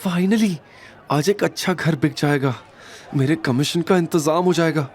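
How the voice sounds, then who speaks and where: A man's voice: a little high, a little slow, a little mellow, voice pushed up, natural voice. A man voicing a saleswoman in an audio drama, falsely polite and condescending. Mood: pleased.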